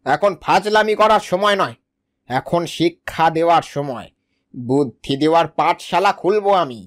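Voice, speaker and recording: A man voicing a crafty, high-pitched cartoon fox. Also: expressive, normal speed, close to an everyday voice. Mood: scheming. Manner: smug, crafty, plotting. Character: sly scheming adult male fox. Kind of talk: cartoon voice acting.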